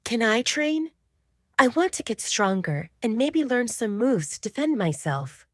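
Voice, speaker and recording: A woman voicing a hesitant, inexperienced young woman with a soft voice in a werewolf romance audio drama. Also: on the high side, big pitch swings, a little slow, no echo, studio quality, voice pushed up. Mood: soft, tentative.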